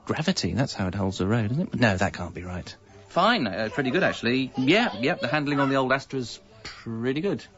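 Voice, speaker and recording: A man's voice: medium pitch, lively intonation, brisk, fairly bright tone, lo-fi sound, soft background music, lightly acted. A male actor with an English accent playing a discontented, evasive young father, narrating a scripted radio comedy in a wry, confessional way. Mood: flustered, exasperated. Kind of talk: scripted first-person comic narration.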